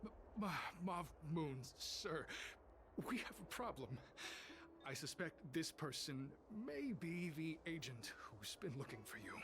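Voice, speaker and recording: A man's voice: fairly high pitch, big pitch swings, unhurried, background noise. An actor playing a nervous, servile male cult underling in a video game, stammering and deferential. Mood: anxious. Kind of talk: video game character acting.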